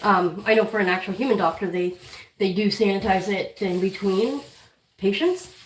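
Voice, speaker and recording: A woman with a head cold who keeps clearing her throat, chatting casually on a home livestream while caring for her guinea pigs and talking fondly to them. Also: medium pitch, moderate pace, echoey room, distant mic, slight background noise, low-quality recording. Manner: chatty, talks to her pets affectionately. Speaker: guinea pig channel host. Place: room at home, weighing pets on a counter.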